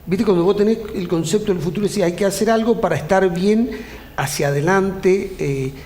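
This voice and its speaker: High-voiced Argentine host in an echoey room. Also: male voice, brisk, mellow. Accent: Argentine Spanish. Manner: emphatic, listing points with many interjections. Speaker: TV interview host. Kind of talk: interview questions and commentary.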